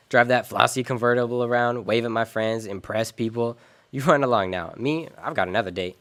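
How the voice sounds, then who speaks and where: A hardboiled detective from a noir radio play acted by a man. He talks in sardonic slang and tosses off wisecracks coolly, in a medium-pitched male voice. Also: moderate pace, fairly bright tone, close to an everyday voice. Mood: wry, unhurried. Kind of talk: radio noir drama acting with narration.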